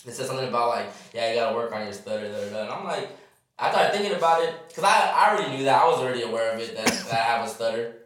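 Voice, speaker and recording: A young man from Southern California hosting a casual podcast chat, talking fast with a noticeable stutter and lots of swearing. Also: medium pitch, lively intonation, a bit bright, slight background noise. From Inland Empire, Southern California. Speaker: podcast host. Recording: echoey room, far from mic.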